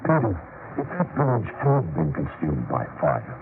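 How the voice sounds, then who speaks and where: An actor playing a remorseful, fervent disciple in a theatrical, deliberate 1940s radio drama style. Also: male voice, sits low, wildly swooping pitch, music in the background. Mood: fervent realization. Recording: muffled, noisy 1940s radio recording.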